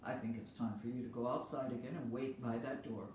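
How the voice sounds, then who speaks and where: A woman voicing a calm, practical mother who talks in a matter-of-fact way, in a very echoey room. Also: a bass voice, a little fast, pitched below the performer's own voice. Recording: very echoey room, faint hiss.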